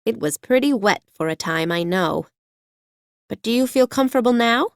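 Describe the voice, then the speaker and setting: A woman's voice: a little high, expressive, normal speed, clear, resonant tone, dry recording, studio quality, voice pushed up. A woman voicing a polite, curious little girl adrift at sea in a storybook reading. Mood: polite wonder. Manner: polite and wondering.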